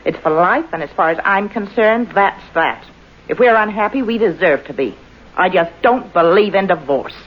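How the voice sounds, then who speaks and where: Actress as a bitter, husky radio-drama wife. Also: female voice, mid-range voice, expressive, moderate pace, natural voice. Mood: icy, sarcastic. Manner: needling, sarcastic, cuttingly polite. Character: bitter, sarcastic wronged wife who refuses divorce. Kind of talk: old-time radio drama acting. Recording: dull, lo-fi old radio recording.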